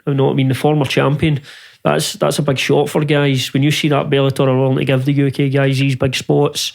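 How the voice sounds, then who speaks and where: Flat, gravelly man. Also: medium pitch. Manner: long rambling questions with restarts. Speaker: podcast host. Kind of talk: casual interview questions.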